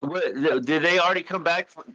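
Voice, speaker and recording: A man with a fairly high, bright and forceful voice on a recorded phone call. He questions the other person haltingly, repeating and rephrasing as he coaxes out answers. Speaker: caller questioning the other speaker. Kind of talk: tense private phone conversation, questioning.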